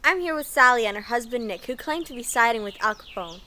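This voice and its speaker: A polite, fairly high-pitched street reporter of the 1920s, acted by a woman, puts brisk scripted questions to locals. Faint noise sits behind her. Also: lively intonation, sharp tone. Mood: polite, inquiring. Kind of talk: scripted news interview.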